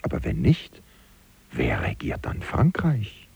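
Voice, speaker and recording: An actor playing an anxious, self-preserving political hanger-on in a German radio play, musing theatrically to himself. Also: male voice, very deep voice, huge pitch swings, slow, vocal fry, whispered, exaggerated voice. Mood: worried musing. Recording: dry, dull lo-fi recording.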